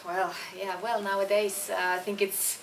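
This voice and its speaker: A young woman speaking English with a Swiss German accent, relaxed and chatty, full of fillers and quick restarts. She sounds a bit distant from the mic, in an echoing room with a faint hum of ambience around her. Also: medium pitch. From Switzerland. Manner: chatty, many fillers and restarts, laughs easily. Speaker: band singer, interview guest. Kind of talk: casual interview answers. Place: concert venue before a show.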